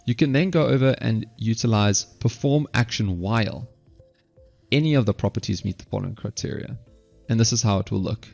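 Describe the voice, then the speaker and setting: A man narrating an instructional software screencast step by step, halting at times and correcting himself. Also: fairly low pitch, vocal fry, lo-fi sound, soft background music. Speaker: software tutorial presenter.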